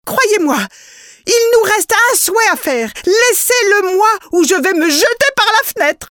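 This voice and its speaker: An excitable, greedy peasant wife from a storybook talks emphatically, with dramatic pleading, as a woman reader acts her out. Her voice is high and leaps about lively. It is recorded dry and close. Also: a little slow, dry recording. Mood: distraught.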